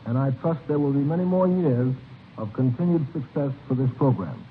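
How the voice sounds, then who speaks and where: A man's voice: mid-range voice, lively intonation, a little fast. An American man reading a stiff, formal scripted address as a police chief. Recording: tinny, faintly noisy 1950s radio recording.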